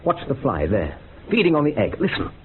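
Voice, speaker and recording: A man's voice: medium pitch, huge pitch swings, brisk. Intense male occult adept, swooping delivery. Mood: intense, portentous. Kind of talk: radio horror drama acting. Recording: tinny phone-like radio recording, faint noise.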